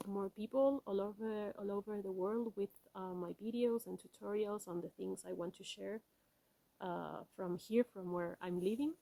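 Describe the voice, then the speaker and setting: Soft-spoken woman with a Spanish accent. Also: mid-range voice, no room sound, low-quality recording. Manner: gentle, halting, with many fillers. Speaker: weaving tutorial host. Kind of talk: casual personal talk and craft tutorial.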